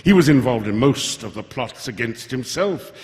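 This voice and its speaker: Casting: male actor as pompous, stammering villainous bureaucrat, very animated, radio theatre, reverberant live hall. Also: medium pitch, normal speed, natural voice. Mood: uneasy, evasive. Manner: stammering, blustering, self-important. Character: nervous, pompous, scheming male official turned villain.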